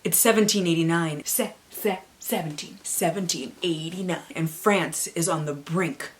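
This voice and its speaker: A woman presenting a book haul vlog, excitable and theatrical, with dramatic emphasis as she reads. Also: lowish voice, normal speed, a little hiss or hum. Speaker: book reviewer and vlogger.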